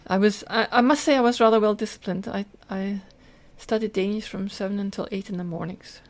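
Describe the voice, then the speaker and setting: A woman's voice: medium pitch, steady tone, a little fast. An older woman with a Scottish accent, telling personal stories in an earnest, detailed way as an interview guest. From Scotland. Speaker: podcast guest, teacher and lecturer.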